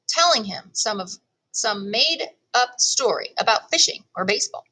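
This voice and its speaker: Animated woman reading a children's story. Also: medium pitch, rough recording. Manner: animated, storytelling delivery with deliberate emphasis. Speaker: teacher reading a chapter book aloud. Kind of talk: expressive children's storybook narration in first person.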